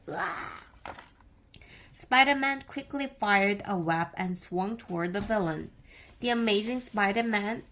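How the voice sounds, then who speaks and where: A woman narrates a children's storybook aloud. Her pitch sits in the middle for a woman and her pace is slow, with careful, expressive phrasing. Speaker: storybook reader.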